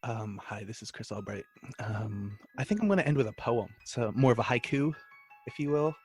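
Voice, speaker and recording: Male comedy podcast guest co-host, mid-pitched voice, casual conversational joking. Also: lively intonation, brisk, darkish tone, slightly rough, soft background music.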